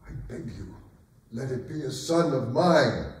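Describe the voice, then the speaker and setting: A man's voice: medium pitch, animated, measured pace, lightly acted. A man playing a proud, wry, aging king in a theatrical staged reading, declamatory in manner. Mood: weary pleading. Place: arts center stage, recorded from afar. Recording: very echoey hall, distant mic.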